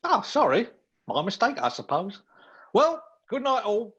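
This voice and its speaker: An actor in a live radio play, playing a cheeky, persistent young undercover detective with a breezy, theatrical manner. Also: male voice, high-pitched, huge pitch swings, measured pace, fairly bright tone, muffled recording, slight background noise, heavily acted. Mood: breezy, teasing.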